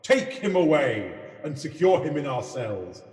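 A man's voice: fairly high pitch, sing-song, unhurried, a little mellow. A man playing an imperious goose king in a radio pantomime, regal and commanding, echoing from a distance. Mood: stern. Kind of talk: amateur radio pantomime acting. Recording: echoey hall, distant from mic.

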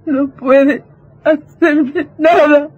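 An actress playing a fragile, easily terrified young wife in a melodramatic vintage radio drama, pleading in drawn-out words. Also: female voice, high voice, fairly even intonation, darkish tone, dull audio. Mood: tearful pleading.

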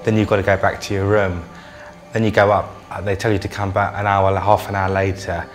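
Male interviewee, deep voice, monotone, repeats himself, music behind. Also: fairly quick. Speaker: interviewee, former patient. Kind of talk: unscripted interview.

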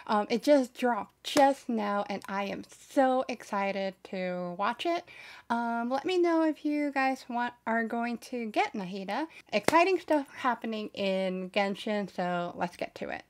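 A woman's voice: a little high, expressive, a little slow, background noise. A young adult woman hosting a reaction video in casual chat, recorded in a small home streaming room.

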